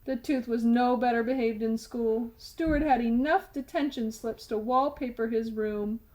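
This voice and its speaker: A woman's voice: a little high, a little slow, a little mellow, low-quality recording. A woman storyteller reading a children's picture book aloud expressively.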